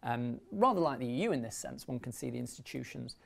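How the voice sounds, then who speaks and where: A man in his thirties giving a formal, measured academic lecture as a university law lecturer, speaking into a lapel mic. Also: medium pitch, expressive, vocal fry. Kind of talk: academic lecture, partly read from notes. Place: indoor lecture recording room, at a lectern.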